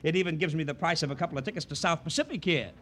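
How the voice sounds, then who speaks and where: Radio noir heel: gloating investigator, bright forceful high voice, mocking and falsely chummy. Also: male voice, rapid-fire. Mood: smug gloating. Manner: loud, mocking, falsely jovial. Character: smug, needling, boastful male insurance investigator. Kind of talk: 1940s-50s radio drama acting.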